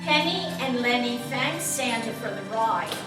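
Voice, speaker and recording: A woman's voice: on the high side, animated, measured pace. A woman narrating a scripted children's story. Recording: echoey, distant, music behind.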